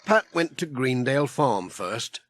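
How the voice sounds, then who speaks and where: A man's voice: medium pitch, very animated, a little slow, fairly bright tone. A British man narrating a scripted children's story as an audiobook reader. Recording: noisy old audiobook transfer.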